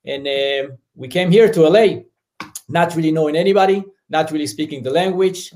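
Man, israeli accent, fairly high voice, relaxed storyteller. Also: a little fast. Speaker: business founder and guest. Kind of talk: casual interview storytelling.

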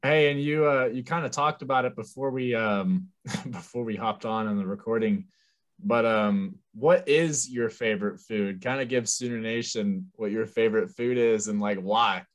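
A man co-hosting a casual sports podcast, relaxed and laid-back in his questions. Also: lowish voice, normal speed. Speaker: podcast co-host.

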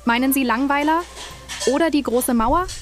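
A woman's voice: sits high, normal speed, faint music behind, close to an everyday voice. A woman playing a polite, curious traveller in a comic audio drama. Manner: polite, questioning.

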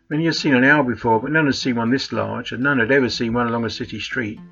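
A man's voice: mid-range voice, gravelly, fairly bright tone, highs cut off. A man reading a short story aloud as scripted narration. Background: faint plucked guitar music.

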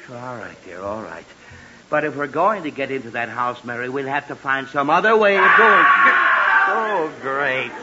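A man's voice: mid-range voice, lively intonation, normal speed, lightly acted. An actor playing an exasperated, blustering middle-aged father in 1940s radio comedy, stammering as he goes. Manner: flustered, stammering, sputtering. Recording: noisy, muffled old 1940s radio recording.

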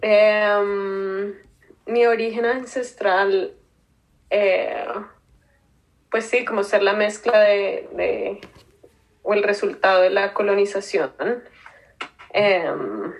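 Guest, woman in her 30s, Colombian accent, measured and slow, hesitant with fillers. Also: medium pitch, fairly even intonation, rough recording. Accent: Colombian Spanish, Bogotá. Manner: thoughtful, hesitant with many fillers. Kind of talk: casual interview answers.

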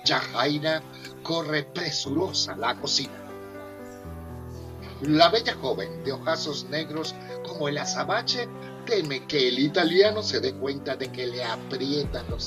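A male narrator of a comic radio soap speaks over music. His voice is a bit high for a man, and he tells the story in a theatrical, mock-serious way. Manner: mock-dramatic.

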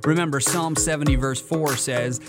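A man's voice: fairly high pitch, fairly even intonation, moderate pace, loud music behind the voice. A man, a pastor, hosting a casual faith discussion podcast in an upbeat, chatty way, laughing easily as he talks.

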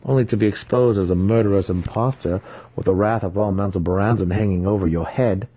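A man voicing a scheming female dark elf matron in a fantasy audiobook, condescending, threatening and sneering. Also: fairly low pitch, a little fast, breathy edge.

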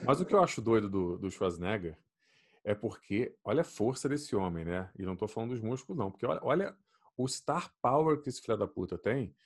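Animated husky man. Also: lowish voice, fairly quick. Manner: animated, swearing, storytelling. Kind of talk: casual chat.

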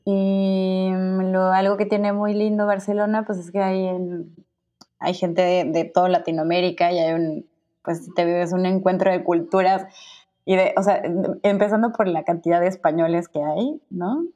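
Mexican woman with a low, mellow voice. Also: fairly even intonation, normal speed, dull audio. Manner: rambling, many fillers and restarts, laughs easily. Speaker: guest. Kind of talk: casual conversation.

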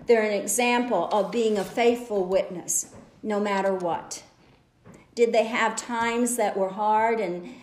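A woman's voice: medium pitch, darkish tone, a little hiss or hum. A woman delivering a church message to a women's gathering, earnest and exhorting, building her points with repeated phrases. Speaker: lay preacher. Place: church sanctuary. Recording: echoey church room.

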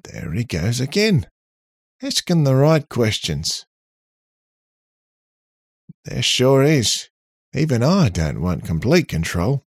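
A man voicing a cheeky, cocky, talkative robot in an animated, boastful, slangy way for an audiobook. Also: mid-range voice, takes it slowly, pauses often, breathy edge, no room sound, clean studio sound, voice pushed up, heavily acted. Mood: chatty. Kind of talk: audiobook character voice.

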